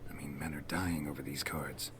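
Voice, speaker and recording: A man's voice: extremely low pitch, normal speed. An actor playing a stoic, terse male monster hunter in a video game. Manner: terse and flat. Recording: game audio with background noise.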